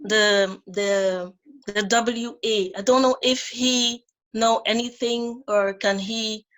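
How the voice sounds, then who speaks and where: A woman from Guyana with a Dutch-tinged English accent, asking a question on a call with many pauses and restarts. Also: fairly high pitch, steady tone, a bit bright. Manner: hesitant, restarts with "um".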